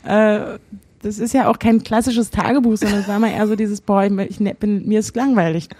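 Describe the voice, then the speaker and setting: A woman's voice: medium pitch, steady tone, brisk, darkish tone, husky, no echo. A woman co-hosting a casual chat show. She reads from her old diary, hesitating with many fillers and laughing often. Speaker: podcast co-host.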